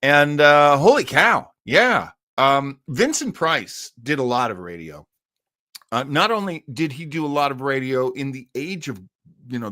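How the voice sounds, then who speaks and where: A man's voice: mid-range voice, a bit bright, dry recording, clean studio sound. A man hosting an old-time radio listening show, chatting casually in a rambling way full of asides. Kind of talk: casual live-stream chat.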